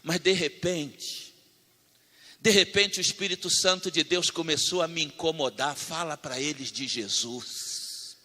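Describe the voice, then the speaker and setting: A man preaching a sermon, telling a personal testimony, moving between loud fervent declaiming and hushed storytelling. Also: on the high side, unhurried. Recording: long hall reverb.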